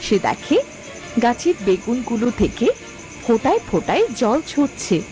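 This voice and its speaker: Woman reading a kids story, highish and expressive, music playing under her. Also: measured pace. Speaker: narrator. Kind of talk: scripted children's storytelling narration. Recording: music behind the voice.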